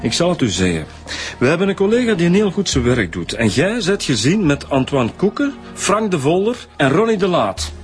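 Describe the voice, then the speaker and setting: A man's voice: a little high, very animated, normal speed, faint music behind. A man with a Flemish accent playing an ironic police detective in a radio drama. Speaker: police inspector.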